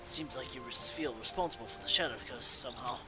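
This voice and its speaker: A man reading video game dialogue aloud in character as a gamer doing light role-play, with a halting, acted delivery. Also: medium pitch. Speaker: gameplay video creator role-playing the player character. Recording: game music behind.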